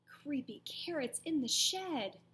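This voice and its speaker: A woman voicing a frightened young boy rabbit, shouting in alarm in a storybook read-aloud. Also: high voice, expressive, slow, slight background noise, pitched above the performer's own voice, heavily acted. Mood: panicked.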